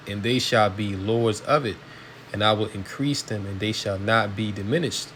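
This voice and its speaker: Brief: male preacher, everyday mid-pitched voice, casual street-style teaching over a faint air-conditioner hum. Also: a bit bright. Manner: conversational, peppered with "man" and "you know". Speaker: religious teacher. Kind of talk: informal preaching with scripture reading.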